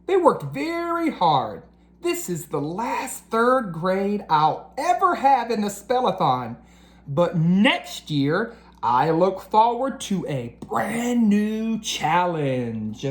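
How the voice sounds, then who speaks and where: A woman's voice: mid-range voice, very animated, slow pace, pitched above the performer's own voice. A woman voicing a proud male grade-school teacher making an emphatic speech in a storybook. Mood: proud, announcing.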